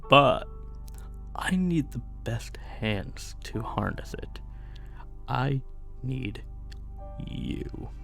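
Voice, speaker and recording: A man playing a smug, sadistic sorcerer in an ASMR fantasy roleplay, mock-polite and menacing, with breathy drops into whispers. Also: medium pitch, heavily acted. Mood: coaxing, ambitious. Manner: theatrical, mock-polite, menacing, drawn-out phrasing. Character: smug sadistic male sorcerer, self-styled mage king.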